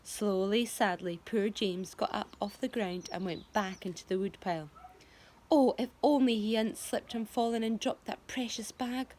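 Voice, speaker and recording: A woman, a teacher, reading a children's book aloud with expressive, storybook delivery and hushed moments. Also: mid-range voice, moderate pace, a little hiss or hum.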